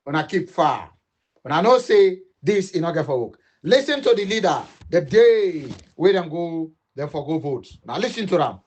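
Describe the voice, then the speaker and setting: A man's voice: a little high, sing-song, moderate pace, a little mellow. A middle-aged man giving an impassioned political appeal, emphatic and repetitive, switching between English and Dutch. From Sierra Leone. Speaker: political commentator.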